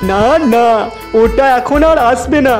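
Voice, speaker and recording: A man voicing a henpecked, lecherous, whining Bengali husband in a comic cartoon, with an artificially high voice. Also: a little slow. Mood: meek. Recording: music behind the voice.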